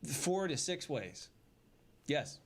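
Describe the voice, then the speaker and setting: A man's voice: on the high side, sing-song, takes it slowly, husky. A man delivering an earnest, indignant monologue straight to his viewers, speaking emphatically as an online creator.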